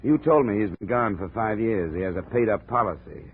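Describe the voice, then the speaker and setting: A blunt, hard-boiled American investigator from radio noir. His voice is low, and he talks in a terse, dry, matter-of-fact way. The recording is a narrow, noisy 1950s broadcast. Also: animated, fairly quick. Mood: firm, probing. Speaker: lead actor of a radio detective series.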